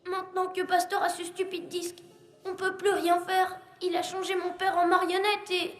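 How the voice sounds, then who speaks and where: A woman voicing an earnest, impulsive young child in an animated film. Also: very high voice, moderate pace. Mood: remorseful, despairing. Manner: emotional, impulsive. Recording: echoing sound with music behind.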